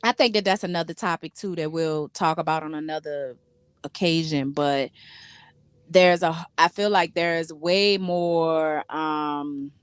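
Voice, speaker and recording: A woman's voice: deep voice, smooth, no room sound. A woman, a trucker's wife and co-host, talking earnestly and encouragingly in casual chat.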